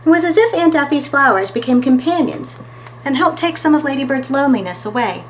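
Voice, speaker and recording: A woman's voice: high voice, expressive, brisk, a little hiss or hum, low-quality recording. A woman reading a picture book aloud as a storytime host, expressively and talking to her listeners between pages.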